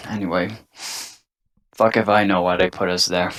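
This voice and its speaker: Deep male voice, man acting a grumbling weary scientist, profane, improvised tabletop roleplay. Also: expressive, a little slow, a bit bright, full character voice. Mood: disgruntled. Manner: gruff, profane, world-weary griping. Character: jaded, grumbling male union scientist with medical training.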